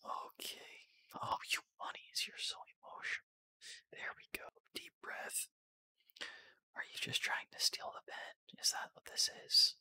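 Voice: a little slow, sharp tone. Reader whispering as a wry male trickster. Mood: teasing, tender. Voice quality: breathy whisper. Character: wry, teasing, soft-hearted male trickster.

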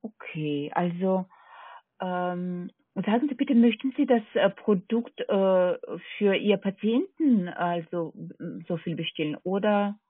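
A woman's voice: medium pitch, slow, breathy edge, dry recording. A woman with a foreign accent and non-native German, working as a call centre agent, hesitantly checking and repeating order details over a phone line. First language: not German. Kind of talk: phone sales conversation. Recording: tinny narrowband phone line.

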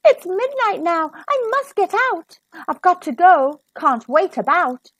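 A woman's voice: very high-pitched, sing-song, slow pace, no echo, voice pushed up, heavily acted, poor audio. A woman voicing an anxious, hopeful young Cinderella from a storybook, in a pleading manner. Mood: panicked.

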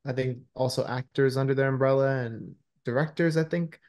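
A man's voice: mid-range voice, a little fast, a little mellow. A man in his thirties speaking casually as an interview guest on a video call, often searching for his words. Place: small room at home, indoors.

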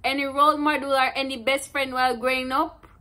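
Young woman, Jamaican accent, high pitch, bright tone, casual interviewer. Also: level tone, fairly quick. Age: 20s. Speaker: interviewer, granddaughter. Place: indoors, plain room.

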